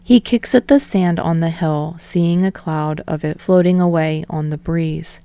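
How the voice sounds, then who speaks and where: A woman's voice: sits low, measured pace, warm tone, clean tone, no echo. A woman reading a story aloud as a scripted audiobook narration. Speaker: fan fiction audiobook narrator.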